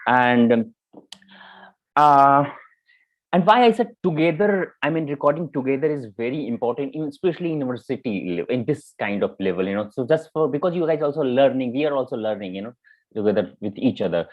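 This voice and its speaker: A man with an Indian accent giving informal spoken feedback as a mentor, halting and repeating himself, often checking in with "you know". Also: medium pitch, animated. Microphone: video call. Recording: muffled, band-limited online call audio.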